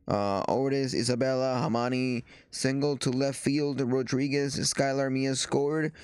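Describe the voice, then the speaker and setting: A man with a congested, stuffy nose talks through softball results and headlines in a halting way, stopping for fillers and restarts. His voice is smooth and even, and it sounds dry and close, with no room around it. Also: mid-range voice, dry recording. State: stuffy nose after the flu. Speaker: softball journalist hosting a show. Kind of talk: casual commentary and reading news aloud.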